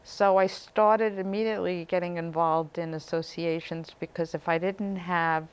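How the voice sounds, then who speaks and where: A middle-aged woman, a talk show guest, telling her family story in a lively way. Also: lowish voice, normal speed, clean tone, muffled recording. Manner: lively storyteller, light laughs. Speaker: guest, community director. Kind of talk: interview chat.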